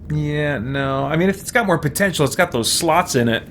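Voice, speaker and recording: A man's voice: a little high, lively intonation, fairly quick, a bit bright. A man streaming a game with casual commentary, thinking aloud as he plays. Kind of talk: casual let's play commentary. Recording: clear background game noise.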